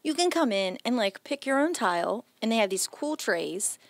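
A woman's voice: fairly high pitch, big pitch swings, normal speed, no echo. An American woman in her thirties hosting a local shopping show, chatty and enthusiastic, with upbeat promotional delivery. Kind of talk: upbeat promotional presenting to camera. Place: small home decor shop, indoors. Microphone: handheld microphone.

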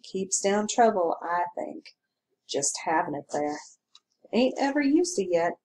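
Woman voicing a rural male constable, middle-aged, folksy drawl, gabby, slow, loud. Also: medium pitch, very animated. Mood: chatty. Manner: folksy drawling talk, sour then gabby. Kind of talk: audiobook character reading.

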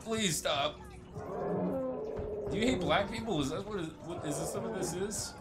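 A man giving casual, sarcastic and excitable gameplay commentary as the host of a gaming video. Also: moderate pace. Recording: noisy low-level game recording.

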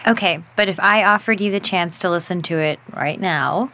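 An actress playing a curious, earnest American podcaster, telling and probing a story in documentary style. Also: female voice, lowish voice, normal speed, sharp tone, a little hiss or hum. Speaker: narrator and interviewer. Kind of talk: documentary-style audio drama narration.

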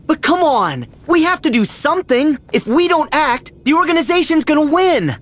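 A man's voice: very high voice, very animated, normal speed, a bit bright, exaggerated voice. An actor playing an eager, impulsive teenage boy hero in a video game, earnest and boyish in manner. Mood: urgent, determined. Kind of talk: video game cutscene acting.

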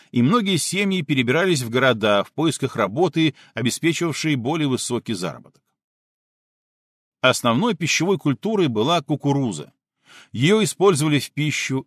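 Man narrating an audiobook with lively intonation. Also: medium pitch, dry recording, clean studio sound. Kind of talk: scripted nonfiction audiobook narration.

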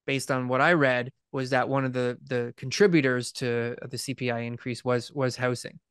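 A man's voice: medium pitch, little pitch movement, moderate pace, a bit bright, clear, resonant tone, no room sound. Brief: male co-host, clean resonant voice, conversational, dry close-miked sound. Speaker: real estate podcast co-host. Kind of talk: casual chat.

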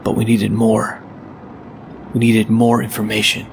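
An actor playing a brooding, terse male vigilante detective, narrating in a grave, clipped, deliberate way in an audio drama. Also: on the low side, monotone, gravelly, noisy background. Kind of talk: first-person scripted narration, audio drama. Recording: loud tense music behind.

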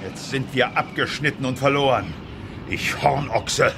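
An actor playing a hot-tempered, gruffly indignant army general in an audio drama. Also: male voice, medium pitch, measured pace, noisy background. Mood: dismayed. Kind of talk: German audio drama acting.

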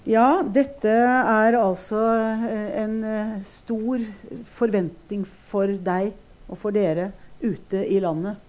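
A woman's voice: medium pitch, a little mellow. A middle-aged woman with a Norwegian accent hosting an informal conversation on a theatre stage. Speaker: host. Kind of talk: informal onstage interview.

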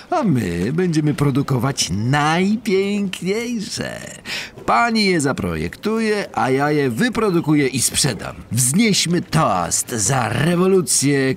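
A man playing a smooth-talking, flattering conman in a true-crime dramatization, with a theatrical, drawn-out delivery. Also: a little high, slight background noise, full character voice. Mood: grandly enthusiastic.

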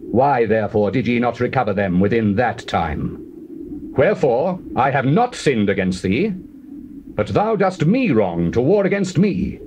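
An actor playing a proud, solemn Old Testament war leader in a measured, declamatory scripture drama. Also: male voice, medium pitch, big pitch swings, darkish tone. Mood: stern, indignant. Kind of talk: dramatized scripture reading, classical stage delivery. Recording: muffled old cassette, clear hiss.

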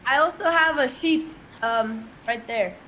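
A young woman from Canada co-hosting a streamed speed date, teasing with playful deadpan jokes. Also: high-pitched, unhurried, slight background noise. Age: young adult. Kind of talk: casual teasing chat. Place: indoor room with plants.

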